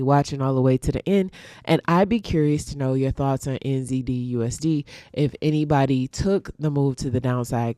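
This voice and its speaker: A woman's voice: low voice, mellow, no echo, studio quality. A congested woman teaching forex trading, walking through a chart casually and thinking aloud with ums and restarts.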